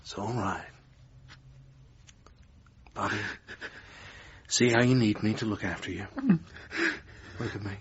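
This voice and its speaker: An actor playing a smooth, manipulative theatre manager and controlling lover in a naturalistic radio drama. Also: male voice, on the low side, takes it slowly, long pauses, dull audio, heavily acted. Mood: coaxing, controlling. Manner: cajoling, domineering, self-satisfied.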